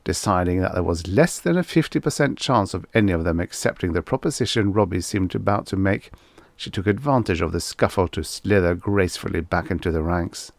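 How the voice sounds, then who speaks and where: A man reading a short story as an audiobook narrator, in a measured, expressive storytelling manner. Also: low-pitched, vocal fry, no room sound.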